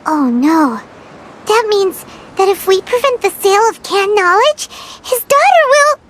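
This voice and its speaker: A performer voicing a tiny, excitable girl companion in a fan dub of a game, with eager exclamations. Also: unhurried, slight background noise, exaggerated voice. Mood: worried. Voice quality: very high and bouncy. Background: background music.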